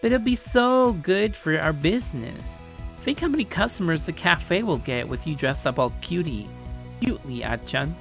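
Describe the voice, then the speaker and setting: Man voicing a breezy, teasing tomboy girl, lifted pitch. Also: animated, moderate pace, gravelly, a bit bright, faint music behind. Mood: playful teasing. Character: tall, confident, playfully teasing tomboy girl. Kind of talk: acted story dialogue.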